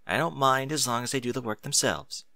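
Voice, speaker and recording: A man voicing a female pony schoolteacher, concerned and gently stern, with proper, admonishing speech. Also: mid-range voice, lively intonation, a little fast, creaky, no room sound, studio quality, voice pushed up. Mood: measured. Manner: proper, admonishing, careful diction.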